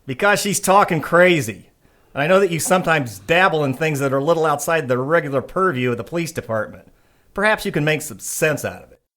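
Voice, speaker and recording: A man's voice: a little high, animated, brisk, a bit bright. An actor playing a brusque, sarcastic male police lieutenant in an audio drama. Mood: brusque, needling.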